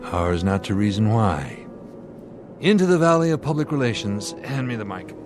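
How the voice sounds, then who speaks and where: An actor playing a calm, commanding airline captain in a radio drama, measured and authoritative with a dry edge. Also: male voice, lowish voice, music in the background. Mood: reassuring. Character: calm, commanding male airline captain, wry under pressure.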